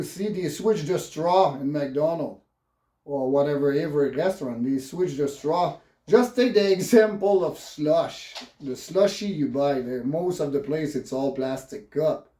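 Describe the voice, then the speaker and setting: An upset man with a French Canadian accent and halting English, a native French speaker, delivering an unscripted, profane political rant as a vlogger. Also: fairly high pitch, mellow, echoey room.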